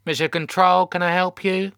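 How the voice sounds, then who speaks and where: A man's voice: sits high, flat delivery, normal speed, bright, forceful tone, clear, resonant tone, no echo, studio quality, voice pushed up. A man voicing an officious teenage girl working in customer service, prim, scripted and unyielding.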